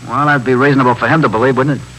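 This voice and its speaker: An American actor playing a slangy, evasive, self-pitying small-time crook in a 1950s radio drama. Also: male voice, mid-range voice, fairly even intonation, very fast, a bit bright, husky. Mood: pleading. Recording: faintly noisy 1950s radio recording.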